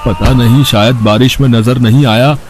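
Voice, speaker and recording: A man's voice: medium pitch, steady tone, normal speed, a little mellow, faint music behind, voice pushed down, natural voice. A man voicing a grateful, weakened old magician in a cartoon story, weary and earnest.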